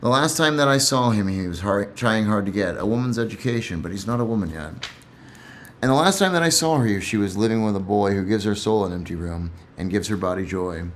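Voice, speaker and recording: A man's voice: fairly low pitch, lively intonation, brisk, slight background noise. A man who reads and comments on poetry in a casual, reflective way, somewhat hesitant and repeating himself.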